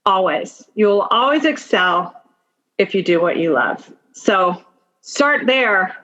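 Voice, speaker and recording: A woman in her fifties with a mid-pitched voice, heard through slightly dull, lo-fi audio. She talks as an interview guest, telling stories in a conversational way and repeating key phrases for emphasis. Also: animated, slow, sharp tone. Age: 50s. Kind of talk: conversational personal storytelling.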